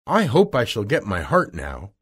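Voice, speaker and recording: A man's voice: on the low side, huge pitch swings, normal speed, fairly bright tone, slightly rough, full character voice. A man voicing a loyal, plain-spoken man made of tin in a children's storybook. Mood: hopeful.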